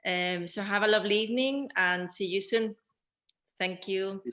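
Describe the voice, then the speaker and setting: A woman's voice: medium pitch, moderate pace, fairly bright tone. A woman speaking as the host and moderator of a Q&A. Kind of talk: hosting a Q&A.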